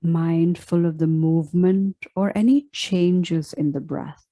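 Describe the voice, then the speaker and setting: Slow, mellow woman guiding meditation. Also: fairly low pitch, fairly even intonation, no room sound, clean studio sound. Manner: soft, deliberate, instructional. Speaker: meditation teacher. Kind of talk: guided meditation.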